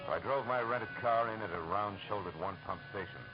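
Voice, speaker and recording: An actor playing a wry, hard-boiled American private detective, clipped and sardonic, narrating and acting in an old-time radio drama. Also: male voice, on the low side, fast talker, music in the background, lightly acted. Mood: wry narration. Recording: muffled, noisy old radio recording.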